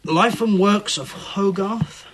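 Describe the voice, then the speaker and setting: An actor playing a calm, persistent police inspector who questions with measured, probing lines in a radio play. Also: male voice, high-pitched, exaggerated voice. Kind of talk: radio drama acting.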